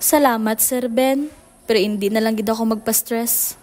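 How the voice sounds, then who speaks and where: A woman's voice: fairly high pitch, fairly even intonation, moderate pace, a little mellow, close to an everyday voice. A woman playing an anxious, hesitant young female office worker in an amateur radio drama, with a Filipino accent. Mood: hesitant, uneasy.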